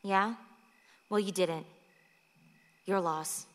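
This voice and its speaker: A flippant teenage runaway in a radio drama. She is playful and breezy, teasing at a medium female pitch. Long hall reverb trails the voice. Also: steady tone, extremely slow, heavy background noise. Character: flippant, teasing sixteen-year-old runaway girl. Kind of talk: radio play acting.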